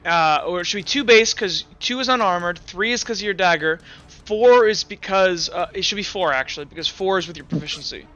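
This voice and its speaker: Young male game master, crisp and bright, talks in halting bursts with lots of fillers. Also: high-pitched. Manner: halting, full of fillers and restarts. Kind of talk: narrating and explaining a tabletop game.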